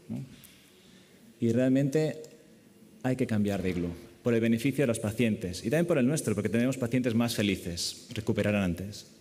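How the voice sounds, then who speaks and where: A man's voice: mid-range voice, a little mellow. A man with an accent from Spain giving a medical conference lecture as a surgeon, speaking in an echoey lecture hall. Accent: Spanish from Spain.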